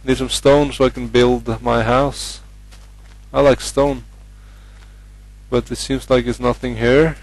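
Hesitant Norwegian-accented gamer, flat voice. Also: male voice, mid-range voice, slow pace, no echo, low-quality recording. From Norway. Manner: hesitant, many fillers, chatty. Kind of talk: casual game commentary.

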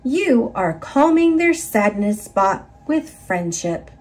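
A woman narrating a children's picture book in an expressive, instructive read-aloud style for young listeners. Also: on the high side, slow pace.

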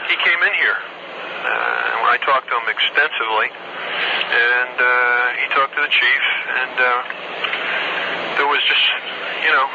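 A man's voice: medium pitch, sing-song, slow pace, vocal fry, long pauses. A man working as an air traffic controller, talking candidly and informally on the telephone, repeating himself and swearing mildly. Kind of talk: candid casual phone conversation. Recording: noisy band-limited archival phone call recording.

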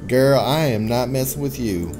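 A man's voice: medium pitch, normal speed, background noise, voice pushed up, lightly acted. A man voicing a sassy, flippant young woman in a horror game, with breezy comic delivery. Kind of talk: comic amateur game voice acting.

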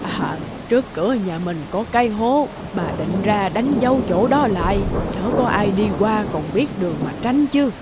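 A woman voicing a kindly, worrying old village grandmother in an animated film, caring and gently scolding. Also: mid-range voice, normal speed, hardly any pauses, very noisy, close to an everyday voice. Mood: fretful.

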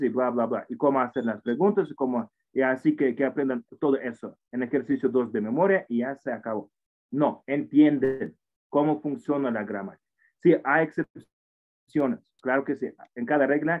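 A man in his forties with a foreign accent in Spanish, teaching an English class online in a patient, encouraging way that often repeats itself. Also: mid-range voice. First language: not Spanish. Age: 40s. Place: indoor room at a computer. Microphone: computer mic on a video call. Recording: tinny phone-like online call audio.

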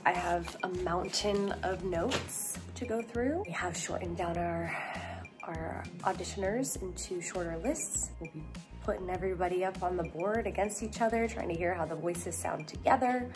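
A woman's voice: lowish voice, normal speed. A young adult woman chatting casually in a vlog, giving updates as a producer indoors at home. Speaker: audio drama writer and producer. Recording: background music, roomy echo.

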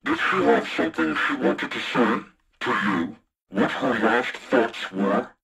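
An actor playing a menacing, taunting spy-ring boss in a video game, with a husky, gravelly voice. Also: male voice, medium pitch, lively intonation, a little slow, bright, forceful tone, full character voice. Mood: cold, taunting. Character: sinister male spy-ring boss giving threatening orders. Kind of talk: scripted video game voice acting.

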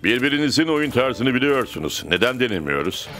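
Loud music sits behind a man voicing a cartoon football coach. His mid-pitched voice swings expressively as he instructs, firm and encouraging. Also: big pitch swings, brisk, lightly acted. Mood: instructive. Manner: instructive, motivating. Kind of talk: Turkish cartoon dub.

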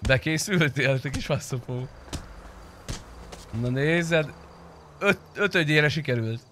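A man's voice: a little high, bright, forceful tone, a little hiss or hum. A young man streaming a roleplay game, chatting casually with crude, teasing banter and plenty of swearing. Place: indoor room, streaming setup.

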